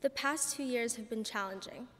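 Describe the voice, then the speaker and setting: Teen girl in an echoing church. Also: moderate pace. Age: teenager, about 14. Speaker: graduating student speaker. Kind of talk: reading a prepared ceremony speech. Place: large church, indoors. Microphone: podium microphone. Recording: church reverb with faint rumble.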